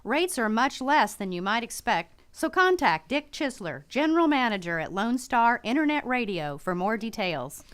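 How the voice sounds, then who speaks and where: Female commercial announcer, smooth and animated, scripted radio spot, dry studio sound. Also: a little high, normal speed, few pauses, no echo.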